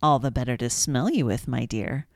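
A woman voicing a gruff, wicked male wolf in a storybook reading, in a deep, dry voice. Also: expressive, normal speed, a little mellow, no room sound. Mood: menacing.

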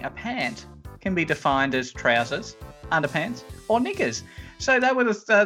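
A man's voice: high-pitched, sharp tone. A man with a nasal voice hosting a comedy podcast, playfully announcing rounds. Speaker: host. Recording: lo-fi podcast audio.

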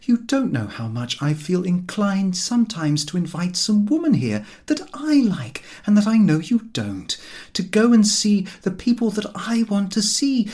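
A man voicing a frank, brisk Edwardian wife, fond yet weary of her husband, in audiobook dialogue. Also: high-pitched, a little mellow, pitched above the performer's own voice, heavily acted.

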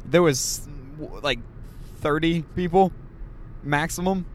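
A man's voice: a little high, slow. A man hosting a casual podcast, rambling and joking, recorded on a handheld mic in a moving car. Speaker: podcast host. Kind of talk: casual chat. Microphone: handheld mic passed around. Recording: road noise from a moving car.

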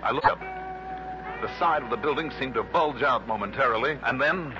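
An actor playing a wry, brisk American insurance investigator in a crisp, dramatic 1960s radio drama style. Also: male voice, mid-range voice, very animated, lightly acted. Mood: wry narrating. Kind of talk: 1960s radio drama, first-person hard-boiled narration. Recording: dull lo-fi old radio recording, music behind.

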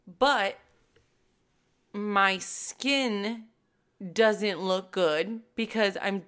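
A woman in her thirties, a fitness coach hosting her own podcast, talking to listeners in a casual, emphatic and animated way. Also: mid-range voice. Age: 30s. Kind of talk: casual solo podcast talk.

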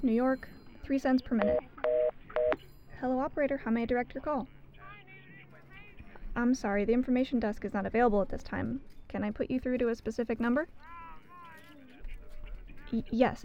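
A woman's voice: on the high side, lo-fi sound, lightly acted. Woman playing a stammering young switchboard operator. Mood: polite. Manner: stammering, apologetic and anxious. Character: young woman telephone operator, timid and nervous.

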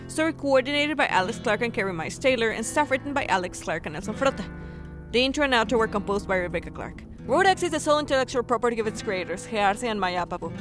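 A woman reading scripted podcast credits as an announcer. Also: a little high. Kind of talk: scripted narration over music.

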